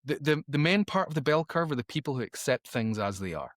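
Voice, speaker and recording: A man's voice: medium pitch, lively intonation, fairly quick, a bit bright, dry recording, studio quality. A man speaking as a podcast guest in conversation, emphatic and opinionated, often repeating words as he thinks. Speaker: podcast guest, author and creativity educator.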